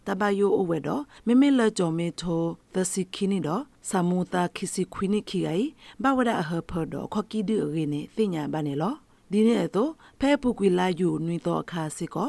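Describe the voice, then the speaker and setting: Female news reader, lowish voice with vocal fry, dry studio sound. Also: expressive, normal speed, darkish tone, no room sound. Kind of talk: scripted radio news reading.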